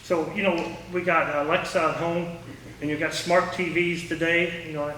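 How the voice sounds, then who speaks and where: A man's voice: fairly high pitch, little pitch movement, moderate pace, pushed and bright. A man giving an informal public talk in a folksy, anecdotal way and answering questions. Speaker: guest speaker, former air traffic controller. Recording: echoey room, distant mic, faint noise.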